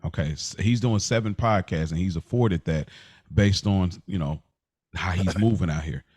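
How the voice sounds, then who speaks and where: Low-voiced man in casual podcast talk. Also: moderate pace, a little mellow, husky, dry recording, studio quality. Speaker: podcast co-host.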